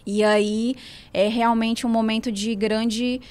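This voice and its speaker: A woman guest physiotherapist with a Northeastern Brazilian accent who explains things in a measured way and often ends sentences with tag questions. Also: a little high, level tone, a little mellow, clean tone. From Brazil, Ceará. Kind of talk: interview answers.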